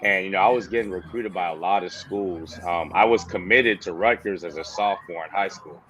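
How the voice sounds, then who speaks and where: Deep-voiced man from the Bahamas, slangy. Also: fairly quick, a bit bright, slight background noise, low-quality recording. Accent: Bahamian, toned down. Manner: casual, slangy, says "bro" and "you know". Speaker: former player, now coach. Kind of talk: relaxed interview storytelling.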